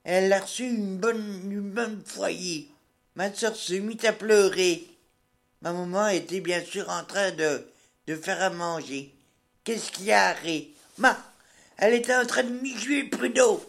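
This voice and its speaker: An older woman from rural Burgundy, a radio columnist telling folksy village anecdotes in dialect in a halting, plain-spoken way, in a radio studio. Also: medium pitch. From rural Burgundy, France.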